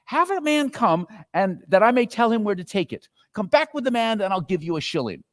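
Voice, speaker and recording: A man's voice: sits high, big pitch swings, fairly quick, no echo, clean studio sound, pitched above the performer's own voice. A man playing an elderly reformed miser, exuberant and exclamatory, in a theatrical reading of a Victorian story. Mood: giddy delight. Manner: exclamatory, exuberant, chuckling old gentleman. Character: elderly miser, newly reformed, giddy with joy.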